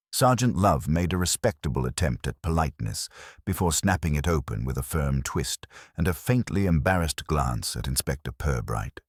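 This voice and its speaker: A man with a very deep voice reads a mystery story as narrator. His delivery is measured and wry, with lively intonation, in a dry, close recording. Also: no echo.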